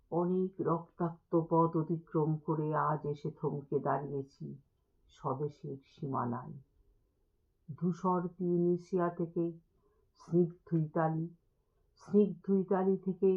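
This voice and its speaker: A woman recites poetry in a dramatic style, with measured, deliberate phrasing and expressive pauses. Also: low voice. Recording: thin, band-limited phone-like sound.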